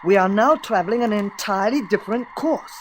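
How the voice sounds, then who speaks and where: A woman's voice: mid-range voice, brisk, natural voice. A woman playing an earnest, apologetic boy spaceship pilot with stiff, formal phrasing in an old-time radio drama. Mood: serious. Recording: noisy vintage radio recording.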